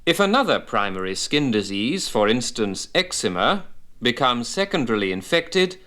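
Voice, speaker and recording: A man narrating a scripted vocabulary lesson as a medical English instructor, setting terms apart with deliberate, didactic enunciation. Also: medium pitch, very animated, sharp tone.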